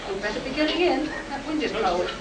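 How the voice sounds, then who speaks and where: A woman's voice: sits high, expressive, fast, a crowd in the background. Composed woman as an air base medic, echoey. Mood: reflective, puzzled. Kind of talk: live sci-fi radio play acting. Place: live convention performance, recorded from the room. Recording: echoey, distant, noisy room recording.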